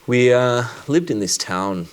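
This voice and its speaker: A man from Sri Lanka who works as a doctor, giving a personal conference talk in a reflective, measured way with deliberate pauses. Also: medium pitch, animated, smooth.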